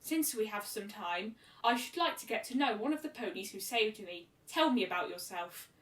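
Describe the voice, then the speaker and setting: A woman voicing an ancient, gentle pony princess in a fan fiction story, speaking formally and measuredly. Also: medium pitch, expressive, moderate pace, lightly acted. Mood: gracious. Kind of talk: amateur fan fiction reading. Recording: roomy echo, distant microphone.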